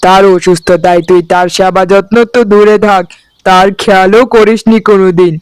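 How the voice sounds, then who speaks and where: An actor playing a dutiful, tender and hurt elder brother, speaking earnestly in a melodramatic cartoon story. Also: male voice, high-pitched, little pitch movement, normal speed, smooth, no echo, close to an everyday voice. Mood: hurt, resigned. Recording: music under the voice.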